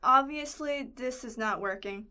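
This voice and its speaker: A woman's voice: sits high, measured pace, very noisy. A woman acting an exasperated, sarcastic young daughter in an audio drama, complaining drily. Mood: frustrated. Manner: dry, complaining.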